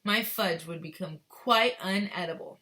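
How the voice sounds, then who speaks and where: A woman voicing an eccentric bearded chocolate maker from a children's storybook, flippant and excitable, in a brisk, emphatic, theatrical way. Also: lowish voice. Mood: urgent, fussy. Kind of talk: children's storybook read-aloud.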